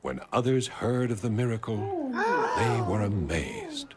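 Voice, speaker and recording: A man narrating an animated storybook tale in a wry, deadpan, scripted storyteller's manner. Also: medium pitch, measured pace, heavy background noise. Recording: music behind the voice. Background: music.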